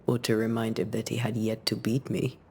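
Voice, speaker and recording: An actress playing a wistful, wryly teasing veteran ghost hunter in a video game, with a measured, reflective delivery. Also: female voice, very deep voice, little pitch movement, gravelly, a little mellow, a little hiss or hum. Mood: fond, teasing. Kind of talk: cinematic game dialogue.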